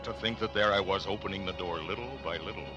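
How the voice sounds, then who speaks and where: An actor playing a nervous, cunning, mad murderer confessing in a theatrical horror monologue from a classic radio play, swinging between loud declaiming and hushed whispers. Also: male voice, quick pace, dull audio, over loud music, close to an everyday voice. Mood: hushed, tense. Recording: noisy old radio recording, vehicle rumble. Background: vehicle noise from a driving game.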